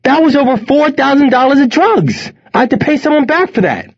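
A man voicing an angry, panicked teenage son in an audiobook, loud, strained and indignant. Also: extremely high pitch, lively intonation, fairly quick, pitched above the performer's own voice. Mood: furious. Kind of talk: audiobook character voice. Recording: dull, band-limited audio.

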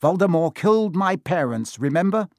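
A man's voice: medium pitch, expressive, normal speed, no echo, clean studio sound, lightly acted. A man voicing a brave, headstrong schoolboy hero in a storybook reading, speaking with urgent insistence. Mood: impassioned.

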